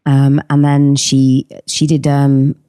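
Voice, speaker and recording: A woman's voice: a bass voice, completely flat delivery, slow pace, mellow, dry recording, clean studio sound. A middle-aged woman, chatting as a podcast guest, hesitant with many ums. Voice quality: husky voice. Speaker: podcast guest, actress and singer. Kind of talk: casual interview chat.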